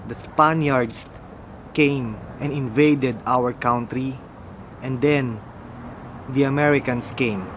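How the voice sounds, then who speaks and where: A young Filipino man with a Filipino accent drawls through a casual vlog, unhurried and a bit mumbly. His voice sits in an ordinary middle register, and a faint hiss of background noise lies under it. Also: medium pitch, fairly even intonation, a bit bright. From Philippines. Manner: slow, mumbling, musing aloud. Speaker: motorcycle vlogger. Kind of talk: casual product unboxing vlog.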